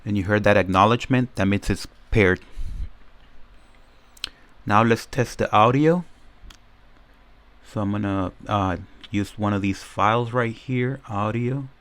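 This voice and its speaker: A man's voice: fairly low pitch, measured pace, long pauses, a bit bright, clear, resonant tone, dry recording. A man giving a casual, informal product demo, explaining things step by step as a reviewer. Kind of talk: casual unboxing and demo commentary.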